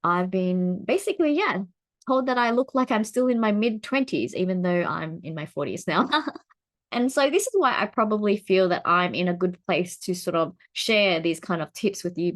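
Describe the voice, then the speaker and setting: A woman in her forties vlogging to camera in a casual, chatty way, laughing often, with her pitch swinging up when she gets excited. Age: 40s.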